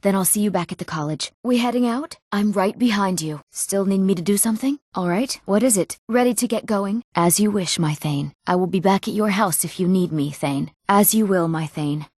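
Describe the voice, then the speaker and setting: A young woman voicing an eager village woman in a fantasy video game, speaking earnestly and clearly in scripted lines. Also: mid-range voice, moderate pace, few pauses, a little mellow, dry recording, studio quality, natural voice. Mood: willing, businesslike. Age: young adult.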